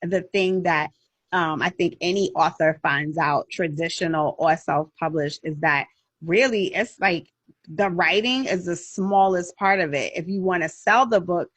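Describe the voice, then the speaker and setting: Husky low-voiced woman host. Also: measured pace, a bit bright, no room sound. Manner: chatty, encouraging interviewer. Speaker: host and editor. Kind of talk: casual interview chat. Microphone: video call.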